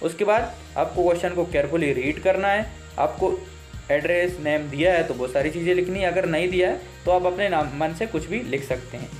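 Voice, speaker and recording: A man's voice: a little high, faint music behind. A man with an Indian accent, a native Hindi speaker, teaching an exam lesson step by step in an instructive way, repeating his points. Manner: instructive, repeats points, slow deliberate read-outs.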